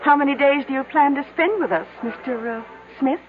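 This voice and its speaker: A woman's voice: high voice, a little fast, natural voice. Chatty small-town motel lady acted by a woman. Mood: chatty, curious. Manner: folksy, inquisitive. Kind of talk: 1970s radio drama acting. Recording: tinny band-limited old radio, faint hiss.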